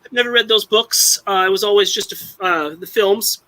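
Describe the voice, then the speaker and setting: Bright, high-voiced young man rambling. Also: fairly even intonation, a little fast, low-quality recording. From Southern California. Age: young adult. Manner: rambling, many restarts and fillers. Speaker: live-stream guest. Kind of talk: casual chat.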